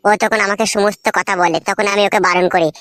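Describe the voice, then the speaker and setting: Man playing confiding cartoon village man, high pitched-up voice, quick pace. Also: fairly even intonation, bright, forceful tone, no echo. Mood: confiding, serious. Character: cautious, confiding village man, earnest neighbourly brother-in-law.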